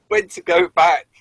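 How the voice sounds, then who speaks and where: A man's voice: sits high, no room sound, rough recording. A man co-hosting a casual review show, often stammering and restarting his phrases as he explains. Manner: stammering, repeats phrase starts. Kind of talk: casual unscripted review chat.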